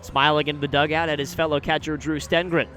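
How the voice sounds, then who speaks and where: Bright, forceful American baseball announcer, male. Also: a little high, soft background music. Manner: measured description, excited on big plays. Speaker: baseball radio play-by-play announcer. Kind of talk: live sports commentary. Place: outdoor ballpark broadcast position.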